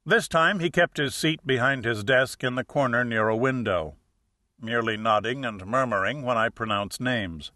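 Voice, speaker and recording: A man's voice: medium pitch, normal speed, bright, forceful tone, dry recording, clean studio sound. A man reading a first-person detective novel as a scripted audiobook narration.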